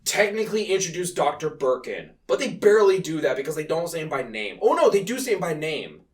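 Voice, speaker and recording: A man's voice: a little high, sing-song, quick pace, distant mic. A man co-hosting a casual movie podcast, ranting and swearing with joking exasperation. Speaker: podcast co-host.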